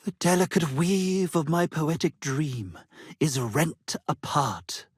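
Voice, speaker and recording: A pompous medieval poet and musician from a video game, speaks with florid theatrical flair. His voice is husky and swings widely in pitch, in a dry, close recording. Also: lively intonation, slow pace, no room sound, full character voice. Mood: lofty, lyrical. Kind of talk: theatrical game character dialogue.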